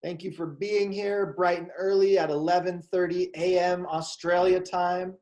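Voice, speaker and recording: A man hosting a psychology show for kids, speaking in a slow, calm, encouraging way. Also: sits high. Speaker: show host. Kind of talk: gentle talk to children.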